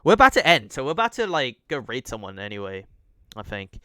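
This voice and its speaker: A man's voice: medium pitch, sing-song, a little fast, pushed and bright, dry recording, studio quality. A young adult man streaming a game, chatting with viewers, thanking them by name and joking about himself. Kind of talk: live-stream gaming chat and reading aloud.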